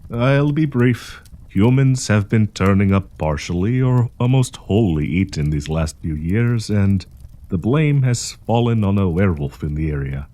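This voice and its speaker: A man's voice: lowish voice, animated, dark tone. A man playing a kindly old professor with a hidden possessive menace, courtly and teasing in an intimate audio roleplay. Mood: genial, businesslike. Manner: courtly, teasing, chuckling, deliberate.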